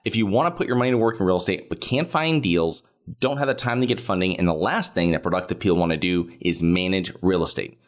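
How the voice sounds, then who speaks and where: A man hosting a podcast, giving a brisk scripted sales pitch and asking guests questions. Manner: brisk sales pitch, repeats the web address. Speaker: podcast host. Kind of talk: scripted ad read and interview.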